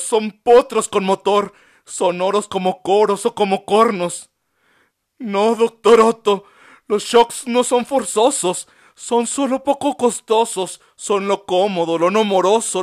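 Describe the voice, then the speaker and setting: Frantic male asylum patient in a storybook, high and loud, read by a man. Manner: shrill pleading outbursts.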